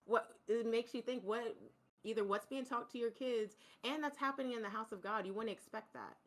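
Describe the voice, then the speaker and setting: A woman's voice: fairly high pitch, fairly quick, a bit bright. A woman who is a guest on a podcast, telling her experiences earnestly in casual chat.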